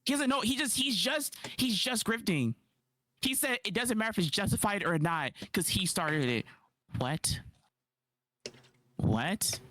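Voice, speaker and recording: A man doing casual livestream reaction commentary, incredulous and quick to rebut, on a close mic. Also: sits high, no echo. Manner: animated, incredulous, rapid rebuttals.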